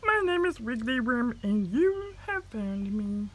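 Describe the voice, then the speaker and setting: A woman voicing a small, friendly worm fellow who talks in a coaxing, drawn-out way. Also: fairly high pitch, very animated, a bit bright, a little hiss or hum, pitched above the performer's own voice, heavily acted. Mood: encouraging.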